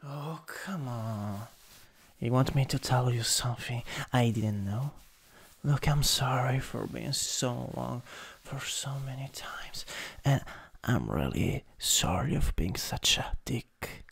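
A man with an Italian accent improvising ASMR as a teasing, domineering older stepbrother, in a halting, intimate, breathy voice that often drops to a whisper. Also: medium pitch, lively intonation, fairly bright tone. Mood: hushed, coaxing. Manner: slow, intimate, halting delivery. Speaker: ASMR roleplay performer. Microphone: close USB microphone.

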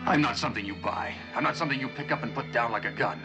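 A man's voice: mid-range voice, racing, over music, close to an everyday voice. An actor playing a bitter, defiant hired gunman in a 1960s television drama, terse and insistent, repeating himself.

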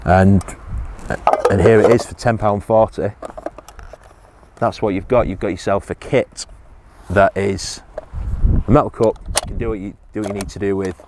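A man's voice: on the low side, fairly even intonation, moderate pace, pauses often, noisy background. A man, casually reviewing survival gear outdoors on a hillside, hesitant with many ums and restarts.

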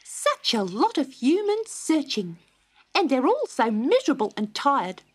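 A woman voicing a small, chattering male wagtail bird with a bright, high voice. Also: huge pitch swings, measured pace, full character voice. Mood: chatty.

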